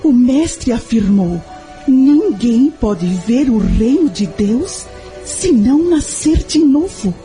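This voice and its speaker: A devoted young mother in an audio novela, acted by a woman, with a mellow, soft-edged tone. She speaks earnestly and tenderly, full of gratitude, over a bed of music. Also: a little high, big pitch swings, slow. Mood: absorbed, thoughtful. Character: devoted, grateful young mother, searching for faith. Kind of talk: audio drama acting.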